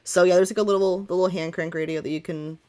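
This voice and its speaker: A woman's voice: on the low side, fairly even intonation, fast, a little mellow. A woman running a tabletop game, improvising narration and chatting casually in a playful, teasing, informal way. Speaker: game master of a tabletop roleplaying game.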